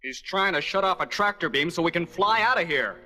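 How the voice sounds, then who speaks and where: A man's voice: sits high, expressive, brisk, exaggerated voice. An actor playing a brash, impatient male space pilot, barking urgent orders in a children's audio adventure. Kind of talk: dramatized children's read-along audio. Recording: 1979 cassette, loud music underneath.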